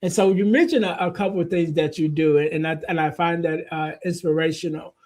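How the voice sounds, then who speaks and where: High-pitched male host, laid-back and friendly, filler-heavy interviewing. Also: fairly quick, darkish tone. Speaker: podcast host. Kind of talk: casual interview hosting.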